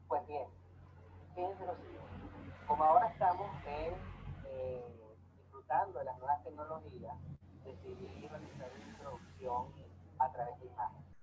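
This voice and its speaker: A man from Venezuela, giving a measured online lecture with frequent hesitations. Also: a little high. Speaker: lecturer on tax law. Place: home, remote online talk. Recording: tinny phone-like audio, faint noise.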